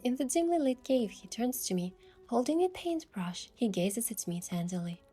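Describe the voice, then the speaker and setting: A young woman gently narrating a romantic story in the first person as its heroine, in a soft, hushed voice. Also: on the high side, very animated, moderate pace, breathy edge, soft background music.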